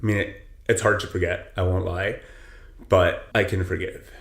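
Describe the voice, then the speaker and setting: A man's voice: sits low, fairly even intonation, normal speed, a bit bright. A man from south Texas whose first language is Spanish, a personal trainer talking earnestly in a casual interview, full of "you know"s. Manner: earnest, filler-heavy, many "you know"s. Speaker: personal trainer, podcast guest. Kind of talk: casual reflective interview talk. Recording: roomy echo with faint ambience.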